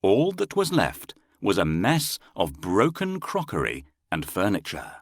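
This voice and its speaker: A man's voice: on the low side, wildly swooping pitch, unhurried, no room sound, clean studio sound. A man narrating a children's fairy tale as a scripted storyteller.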